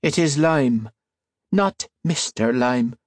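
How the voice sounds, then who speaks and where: A man's voice: mid-range voice, lively intonation, slow pace, no room sound, studio quality, pitched above the performer's own voice. A man voicing a thin, menacing male villain with a reedy voice and an Irish lilt. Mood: contemptuous. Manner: silky, mocking, threatening.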